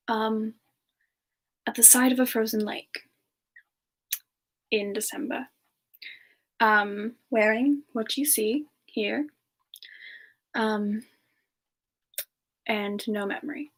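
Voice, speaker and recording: A woman playing a gentle, polite frost demon with no memory, speaking softly and hesitantly in an in-character ASMR-style Q&A. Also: a little high, slow pace, pauses often. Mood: hesitant, wistful. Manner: hesitant, soft-spoken, slips into whispers. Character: gentle, polite amnesiac frost-powered demon woman, reborn from long ago. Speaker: streamer answering viewer questions in character. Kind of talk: semi-ASMR in-character Q&A, soft improvised chat.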